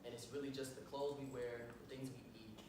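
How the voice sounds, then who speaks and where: A man's voice: medium pitch, fairly even intonation, a little fast. A young adult man, a stage actor on a post-show panel, talking casually and loosely with plenty of "like" and "um". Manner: loose and chatty, many fillers like "like" and "um". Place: theater stage. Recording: echoey hall from far off, noisy.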